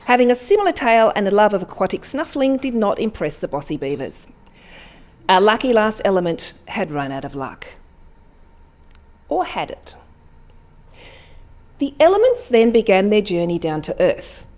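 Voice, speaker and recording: A woman reading a whimsical short story aloud at a live event, expressive and deliberate, with dramatic pauses. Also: medium pitch. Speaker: story reader.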